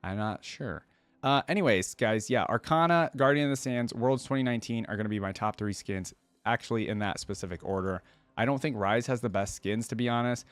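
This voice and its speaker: A man's voice: medium pitch, a little fast, no echo. A man hosting a reaction video, reading text aloud and commenting on it in a casual, informal way. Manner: informal, addresses viewers as guys and dude.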